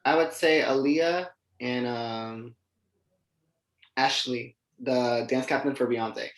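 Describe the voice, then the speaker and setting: A man's voice: medium pitch, steady tone, slow. Podcast guest, male, late teens, informal and chatty delivery, recorded with noticeable room echo. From United States. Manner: informal, conversational, heavy use of "like". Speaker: podcast guest, dancer and artist. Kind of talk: casual interview chat. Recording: echoey, roomy sound.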